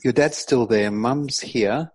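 A man's voice: medium pitch, slow pace, husky. A man hosting a community radio show, introducing his guest with enthusiasm and asking questions in a conversational way. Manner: conversational, some restarts. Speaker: radio host.

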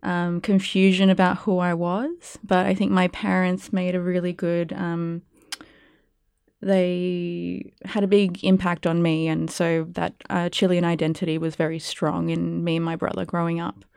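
Soft-spoken Australian woman, gravelly and dry. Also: lowish voice, level tone, measured pace, no echo. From Perth, Western Australia. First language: Spanish. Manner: reflective, many ums and likes. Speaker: guest, arts curator and producer. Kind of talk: casual interview.

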